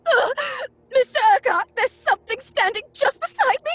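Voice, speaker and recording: High-pitched, frightened young woman. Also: lively intonation, normal speed, fairly bright tone, no room sound. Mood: terrified. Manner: gasping, anxious, pleading. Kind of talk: old-fashioned radio horror drama.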